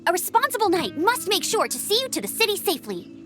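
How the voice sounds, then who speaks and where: A woman's voice: extremely high pitch, sing-song, fast talker. A woman voicing an upbeat, dutiful young female knight in a video game, speaking in an earnest, eager and slightly formal way. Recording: music behind the voice.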